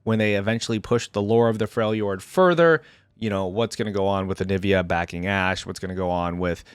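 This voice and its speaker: A man's voice: sits low, a little fast, smooth, no room sound. A man giving casual reaction commentary as the host of an online video, talking informally to his viewers.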